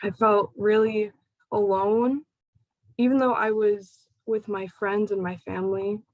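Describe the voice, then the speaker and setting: Young woman, slow and halting personal talk, dry close sound. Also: medium pitch, fairly even intonation, no echo, poor audio. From United States. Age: young adult. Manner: slow, halting, pausing between phrases. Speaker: speaker sharing her personal story. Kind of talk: personal talk to a support group.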